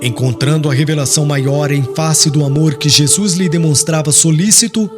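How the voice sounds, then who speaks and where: A man reading a religious story in a solemn, expressive literary narration. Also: a little high, moderate pace, over music. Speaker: audiobook narrator.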